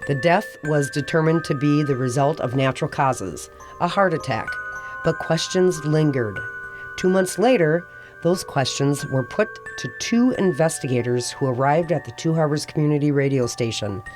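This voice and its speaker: A man playing a solemn mystery narrator, giving measured, ominous scripted radio drama narration. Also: a little high, lightly acted. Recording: music under the narration. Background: music.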